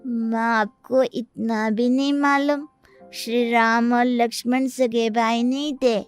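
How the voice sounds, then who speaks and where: A woman voicing a gentle, innocent boy of about seven in a cartoon moral story, earnest and pleading. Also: fairly high pitch, flat delivery, slow, clean tone, soft background music.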